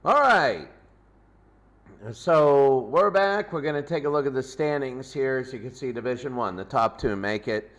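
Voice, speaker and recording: A man hosting a sports pregame show, commenting in a loose, unscripted and halting way. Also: medium pitch, a bit bright. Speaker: sports host.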